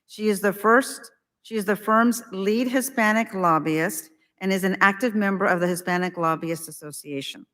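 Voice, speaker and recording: A woman's voice: medium pitch, moderate pace, fairly bright tone. A woman emceeing a conference, making loose, chatty announcements and introducing a speaker. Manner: chatty, loose, with many fillers. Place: conference hall. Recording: long hall reverb.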